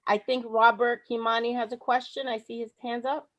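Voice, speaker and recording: A woman's voice: fairly high pitch, flat delivery, a bit bright, highs cut off. Earnest woman giving a motivational talk. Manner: slow, emphatic, earnest. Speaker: guest speaker. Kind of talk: motivational speech. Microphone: video call.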